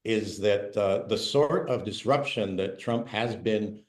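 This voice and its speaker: A man on an online news panel, speaking in a measured, analytical way. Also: lowish voice. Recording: echoey room.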